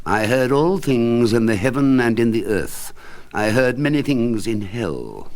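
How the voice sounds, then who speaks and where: A man voicing a jittery madman swearing he is sane. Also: medium pitch. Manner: lurches between hushed whispers and sharp outbursts. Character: nervous unhinged man insisting he is sane. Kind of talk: dramatic storytelling reading.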